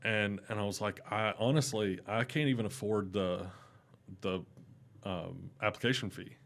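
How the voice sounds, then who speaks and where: A young adult man with a loud, carrying voice, telling stories casually and animatedly as a podcast guest. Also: normal speed, creaky. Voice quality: loud voice that carries. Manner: animated, rising in pitch when excited. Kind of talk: casual storytelling interview.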